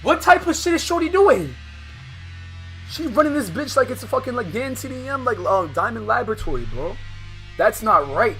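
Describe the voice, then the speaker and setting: A man's voice: very high-pitched, big pitch swings, moderate pace, fairly bright tone, poor audio. A man livestreaming a game with slangy, profane, exasperated commentary, reading some of the text aloud as he goes. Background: guitar game music.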